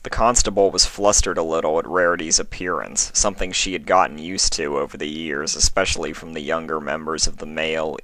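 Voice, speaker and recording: Low-voiced man narrating a story. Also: fairly even intonation, brisk, hardly any pauses, a bit bright, dry recording. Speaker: fan fiction reader, narrator.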